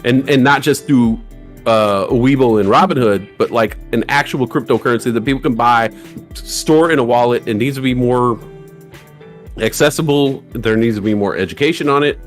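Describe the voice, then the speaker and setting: A man in his forties co-hosting a casual livestream chat, lively and acting out voices of people he quotes. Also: moderate pace, soft background music. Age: 40s. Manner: animated, acts out quoted voices with big pitch jumps. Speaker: livestream co-host.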